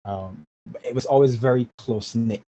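A man's voice: mid-range voice, normal speed, dark tone. A young man answers interview questions in an earnest, searching way, often doubling back on his words. His voice comes through a dull, lo-fi remote connection with a faint hiss behind it. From Barbados. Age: 20s. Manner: earnest, repeats words while searching for phrasing. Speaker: guest. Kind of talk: casual interview talk.

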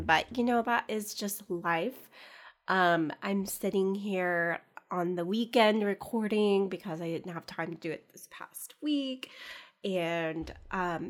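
A young woman chats her way through a solo podcast at an unhurried pace, her voice on the lower side. She sounds stuffy and congested from a cold. She rambles easily, filling pauses with fillers and soft laughs. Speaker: podcast host. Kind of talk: casual solo podcast chat.

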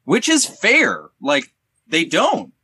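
Bright, forceful man telling anecdotes. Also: high-pitched, wildly swooping pitch, takes it slowly, slightly rough. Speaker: teacher. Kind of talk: casual podcast storytelling.

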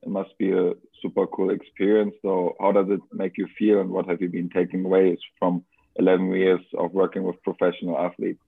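A man co-hosting a podcast, welcoming a guest and asking measured, slightly formal questions. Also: low-pitched, completely flat delivery, creaky, breathy edge. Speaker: podcast co-host. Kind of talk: podcast interview. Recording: tinny phone-like audio.

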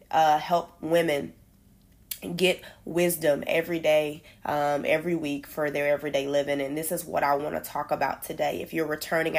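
A woman hosting a faith-based podcast, upbeat and emphatic, a bit congested and nasal. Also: low voice. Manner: upbeat, emphatic, repeats for stress. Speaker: podcast host.

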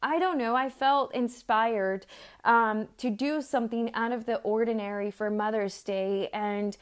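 A woman's voice: a little high, steady tone, a little slow, clean tone. A woman from Mexico in her thirties or forties giving an earnest, conversational sermon, telling personal stories with frequent fillers and restarts. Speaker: church speaker.

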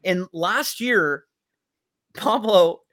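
Higher-pitched male sports interview host. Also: animated, drawn-out words, fairly bright tone. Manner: chummy, addresses guest as buddy. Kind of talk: conversational sports interview hosting.

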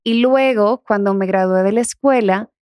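Young woman, softened Dominican accent, mid-range voice, casual interview guest, dry recording. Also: mid-range voice, normal speed, dry recording. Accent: Dominican, softened toward neutral Spanish. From Dominican Republic. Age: young adult.

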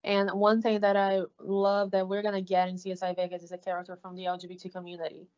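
A woman with a Brazilian Portuguese accent, co-hosting a podcast in a halting, casual way, with many fillers and restarts. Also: medium pitch, completely flat delivery, darkish tone. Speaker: podcast co-host. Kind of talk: casual recap chat. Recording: slightly dull lo-fi audio.

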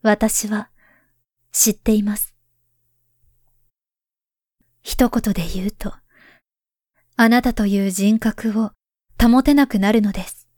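A woman voicing a grown woman who writes to her past self, speaking softly and gravely, often near a whisper. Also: medium pitch, steady tone, takes it slowly, long pauses, dry recording, studio quality. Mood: earnest. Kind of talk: voice drama letter monologue.

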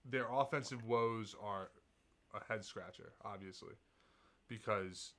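New guy cohosting, bright low voice, jokey hype man energy. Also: measured pace. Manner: joking, hype. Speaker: podcast co-host, audio engineer. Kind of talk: casual sports chat.

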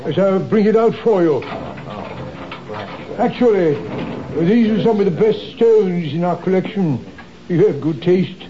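A polite shop clerk, smooth salesman talk, mellow voice a bit high, lo-fi old radio. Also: a little slow, pauses often. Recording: dull lo-fi 1970s radio drama.

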